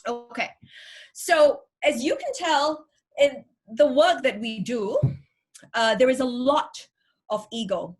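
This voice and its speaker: Energetic Singaporean woman giving a talk. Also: high voice, slow. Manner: energetic, upbeat. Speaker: workshop speaker. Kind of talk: online workshop presentation. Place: home room with virtual background. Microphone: video call.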